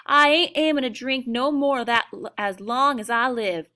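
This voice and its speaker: A woman voicing a tiny gruff hard-drinking cowboy man with a Texas accent, blustering and drawling. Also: fairly high pitch, normal speed, sharp tone, pitched above the performer's own voice. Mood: furious.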